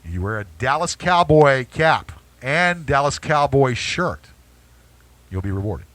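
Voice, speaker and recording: A man's voice: mid-range voice, sing-song, unhurried, fairly bright tone, no room sound. A man hosting a talk show, teasing his co-hosts and repeating his phrases. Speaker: TV show host. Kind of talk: casual banter.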